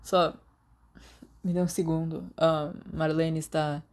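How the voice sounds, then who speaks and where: A woman's voice: deep voice, level tone, slow pace, a little mellow. Woman doing a mumbling sick young man. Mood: weak. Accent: Brazilian Portuguese.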